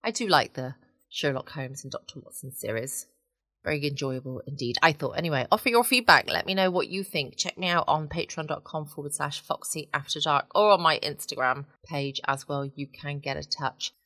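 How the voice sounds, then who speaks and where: Low-voiced, bright English woman podcast host. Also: brisk, no echo. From United Kingdom. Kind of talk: chatty late-night podcast presenting. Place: at home, close dry sound.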